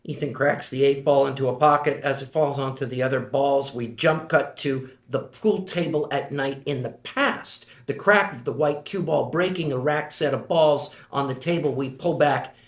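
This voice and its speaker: A man reads screenplay stage directions as scripted narration, setting out scenes and actions. His pitch sits in a middle range and the room around him is clearly echoey.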